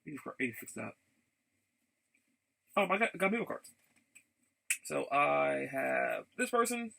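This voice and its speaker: A man chatting casually in a rambling vlog, haltingly, often repeating and restarting his phrases. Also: a little high, big pitch swings, vocal fry, pushed and bright. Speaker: vlogger.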